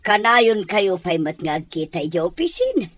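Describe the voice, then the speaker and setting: A woman's voice: lowish voice, sing-song, normal speed, a bit bright, dry recording. A woman playing a scheming, disapproving mother in an audio drama, speaking with disdain and emphasis. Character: scheming, disapproving mother, middle-aged or older. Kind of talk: Ilocano radio-style drama acting.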